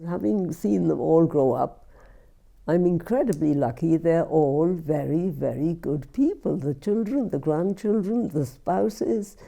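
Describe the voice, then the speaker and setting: An older woman with a Welsh accent, recalling her family in an interview, often halting and repeating words as she speaks. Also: low-pitched, creaky, dark tone. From Wales. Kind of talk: reminiscing interview.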